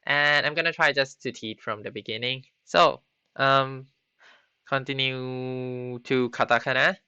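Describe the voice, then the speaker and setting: A man teaching a beginner Japanese class on a live stream, halting often with ums and restarts. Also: mid-range voice, fairly even intonation, bright, forceful tone, smooth, no echo. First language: not Japanese. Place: home computer setup. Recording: slightly dull lo-fi stream audio.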